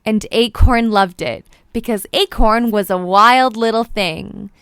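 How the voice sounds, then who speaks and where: A woman's voice: mid-range voice, a little slow, a bit bright, clean tone, no echo, studio quality. A woman narrating a children's storybook expressively, with playful, dramatic emphasis. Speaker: children's book read-aloud presenter.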